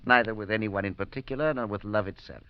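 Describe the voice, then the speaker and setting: A man's voice: fairly low pitch, a little fast, smooth, no echo, lightly acted. An actor playing a cold, unsentimental male scientist professor in a 1940s radio drama, speaking sardonically with nervous hesitations. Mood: aloof. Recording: dull 1940s radio broadcast.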